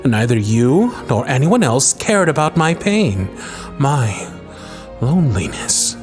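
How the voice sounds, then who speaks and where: A man's voice: mid-range voice, big pitch swings, slow pace, music in the background. A man playing a bitter, scornful younger prince in a fantasy audio drama, brooding and theatrical, full of contempt. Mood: bitter, wounded.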